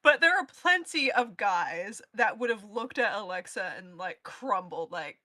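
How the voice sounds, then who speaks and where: A woman speaks as a panelist in a relaxed group discussion. Her voice is bright and sits at an average pitch, and she talks candidly and informally. The recording is close and dry. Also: measured pace, dry recording. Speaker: panelist, podcast crew member who edits episodes. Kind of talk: casual panel chat.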